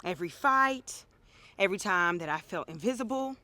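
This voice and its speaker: A woman playing a wounded, quietly resentful girlfriend in a poetic, deliberate dramatic monologue. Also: mid-range voice, animated, no echo. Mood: wounded, confessional. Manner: poetic, deliberate, emotional delivery. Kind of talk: dramatic spoken-word monologue. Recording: music playing behind.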